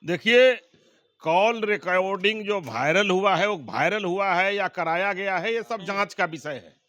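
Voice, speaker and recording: A man's voice: sits high, measured pace, sharp tone. A man speaking as a party spokesperson in an interview, emphatic and accusatory, often repeating his points. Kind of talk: political interview answers.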